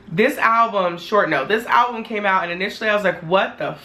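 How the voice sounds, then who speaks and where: A woman in her twenties talking casually to her viewers in a vlog, her voice a little hoarse as it returns, chatty and candid. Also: mid-range voice, brisk, bright, forceful tone, low-quality recording. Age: 20s. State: hoarse, voice not fully back. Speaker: vlogger.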